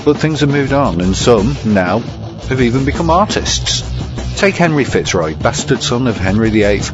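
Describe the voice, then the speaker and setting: A man presenting a scripted review segment as a narrator. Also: fairly low pitch. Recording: dull lo-fi recording under music. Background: guitar music.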